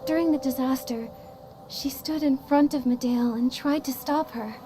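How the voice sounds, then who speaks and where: Woman voicing high, gentle young heroine. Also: normal speed, natural voice. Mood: somber, careful. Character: kind, earnest young heroine recounting what she saw. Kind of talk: video game story acting. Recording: faint music behind the voice.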